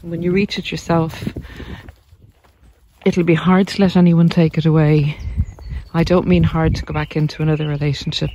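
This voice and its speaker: A mellow voice gives gentle, reflective advice while walking outdoors. The delivery is even and reassuring, with unhurried pauses. There is light outdoor noise with birdsong behind it. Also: medium pitch, low-quality recording. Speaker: narcissistic abuse recovery coach. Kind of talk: walk-and-talk vlog, reflective advice. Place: outdoors on a country walk.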